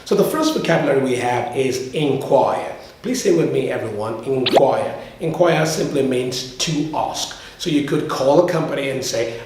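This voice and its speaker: A man's voice: medium pitch, a little mellow. Echoey male English teacher. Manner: didactic, repeats key words slowly for learners to echo. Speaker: English teacher and video host. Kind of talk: language lesson to camera. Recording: very echoey, reverberant room.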